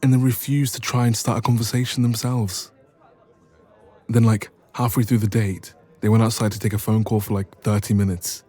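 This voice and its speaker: A man's voice: fairly low pitch, normal speed, close to an everyday voice. A man playing a playful, teasing young best friend in a romantic roleplay audio, chatting casually and intimately. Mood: exasperated. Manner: playful, teasing, expressive, soft laughs.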